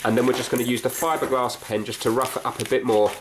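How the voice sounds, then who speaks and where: A man's voice: mid-range voice, little pitch movement, fast. A man casually narrating hands-on repair work, thinking aloud and explaining each step in a tentative way. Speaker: host of a repair video. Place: indoors at a cluttered workbench. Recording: clear background noise, clicks from handling.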